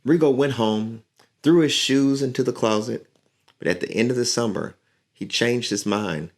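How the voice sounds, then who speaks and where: A man reading a picture book aloud to children in an encouraging, unhurried way. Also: mid-range voice. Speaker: community leader and musician reading to children.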